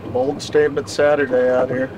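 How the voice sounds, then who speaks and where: A man's voice: mid-range voice, little pitch movement, moderate pace, darkish tone, slight background noise, poor audio. A man chatting casually while fishing outdoors on a boat, picked up by a camera mic nearby. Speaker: recreational angler. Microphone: camera mic a few feet away.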